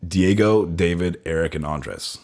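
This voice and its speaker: A man's voice: low-pitched, measured pace, a little mellow. A man co-hosting a podcast, explaining things in a laid-back, casual chat. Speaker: podcast co-host. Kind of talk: casual banter.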